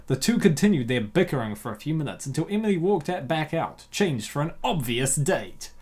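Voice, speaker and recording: A man's voice: a little high, big pitch swings, normal speed, a little mellow, slightly rough. A man narrating a comic dub and breaking into slangy, jokey casual commentary. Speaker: host and narrator.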